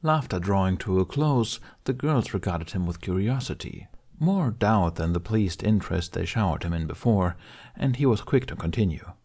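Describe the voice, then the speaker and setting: A man's voice: low-pitched, animated, normal speed, darkish tone. A man reading scripted fiction as an audiobook narrator.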